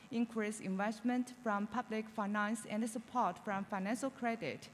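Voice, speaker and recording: A woman with a Chinese accent interpreting a formal speech into English at a conference. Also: fairly high pitch. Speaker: consecutive interpreter. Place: large conference hall. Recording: reverberant hall, faint noise.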